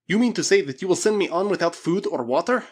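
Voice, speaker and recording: A man's voice: high-pitched, sing-song, moderate pace, voice pushed up. A man voicing a starving, desperate Russian in an adventure storybook, pleading and indignant with a strained manner.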